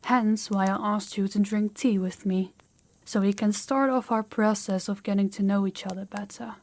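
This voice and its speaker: A woman playing a proud, guarded young princess in an intimate audio roleplay, speaking in a measured, formal, slightly awkward way to the listener. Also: mid-range voice, fairly even intonation, darkish tone, natural voice. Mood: stiff, composed.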